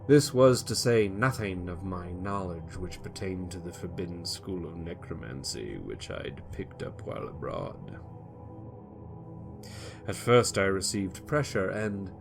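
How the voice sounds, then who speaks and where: A man giving a slow, theatrical first-person reading of his character's backstory for a tabletop game. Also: low voice, creaky. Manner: slow, theatrical, savoring each phrase. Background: background music.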